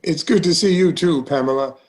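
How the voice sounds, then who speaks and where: A man's voice: on the high side, a little fast, natural voice. A man playing a genial, cautious uncle who is a banker and trustee, in a read-through of a stage musical. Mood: measured, avuncular. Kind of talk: musical table read, scripted dialogue. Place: recorded online.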